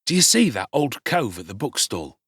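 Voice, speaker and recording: A man's voice: mid-range voice, sing-song, moderate pace, fairly bright tone, husky, no room sound, clean studio sound. Man as a sly young pickpocket boy. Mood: furtive, sly. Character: sly, streetwise teenage boy pickpocket leader. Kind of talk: audiobook character voice.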